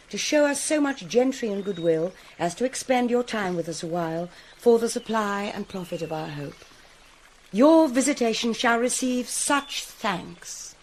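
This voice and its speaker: An actress playing a gracious, anxious middle-aged queen, speaking in a measured, courtly classical Shakespearean manner. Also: medium pitch, lively intonation, darkish tone, slight background noise. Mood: gracious, pleading. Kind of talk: classical Shakespearean drama acting.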